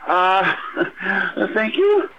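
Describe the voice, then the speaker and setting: An actor playing a flustered, evasive factory owner who stammers and chuckles nervously through an audio drama voicemail. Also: male voice, sits high, big pitch swings, very slow, exaggerated voice. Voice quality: stammering, hesitant. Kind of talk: audio fiction voicemail monologue. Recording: muffled noisy phone voicemail.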